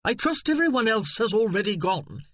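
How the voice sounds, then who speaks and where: A man's voice: high-pitched, very animated, moderate pace, a bit bright, no echo, clean studio sound, voice pushed up, exaggerated voice. A man putting on a pompous, blustering cousin. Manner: pompous, blustering, then fawning. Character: pompous blustering male cousin, middle-aged.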